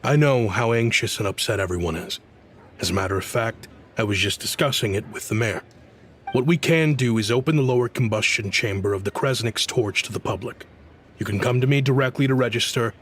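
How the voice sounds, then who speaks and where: A man voicing a diplomatic, conciliatory town official in a video game, speaking in measured, soothing speeches. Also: on the low side, husky. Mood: reassuring.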